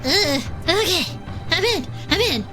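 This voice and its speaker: A woman's voice: very high-pitched, expressive, measured pace. A woman playing a big, reassuring and wry turtle adventurer in an audio drama. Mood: urgent. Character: big male turtle adventurer, soothing, exasperated best friend. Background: loud music.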